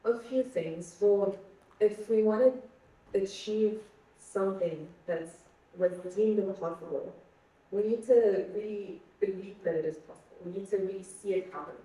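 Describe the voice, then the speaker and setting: A woman's voice: medium pitch, normal speed, warm tone. A young woman from Egypt answering questions on a panel, earnest and searching for words, often repeating herself. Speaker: guest speaker. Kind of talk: panel conversation. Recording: echoey hall, mic at a distance.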